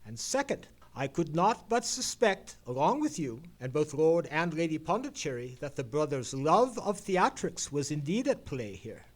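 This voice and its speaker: An actor playing a knowing gentleman detective in an old-time radio play, speaking in formal, measured phrases with dramatic pauses. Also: fairly high pitch, big pitch swings. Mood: knowing, deliberate.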